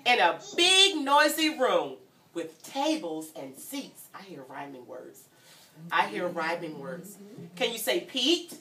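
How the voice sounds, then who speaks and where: A woman's voice: medium pitch, sing-song, slow pace, pushed and bright, slight background noise. A woman reading a story aloud to young children, asking questions and prompting them to repeat as a teacher would. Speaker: guest reader and teacher at children's story time. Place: indoor room with a group of children. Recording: roomy, distant mic with children around. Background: children's voices.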